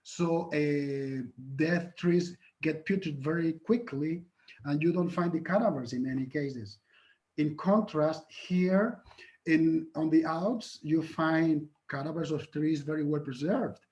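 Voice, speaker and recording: A man giving an academic conference lecture and answering questions, earnest and halting, with many fillers and restarts. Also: fairly high pitch, a little mellow. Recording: muffled lo-fi lecture recording.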